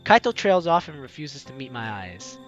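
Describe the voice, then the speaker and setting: A man's voice: mid-range voice, very animated, moderate pace, a bit bright, muffled recording, soft background music. A man hosting a game playthrough, reading narration and dialogue aloud expressively, with casual asides. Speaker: host of a video game playthrough.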